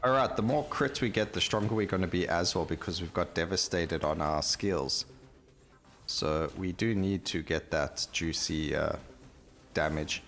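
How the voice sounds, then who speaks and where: A man's voice: low-pitched, unhurried, fairly bright tone. A man giving casual, unscripted commentary as he plays a video game. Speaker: gaming video host. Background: game music.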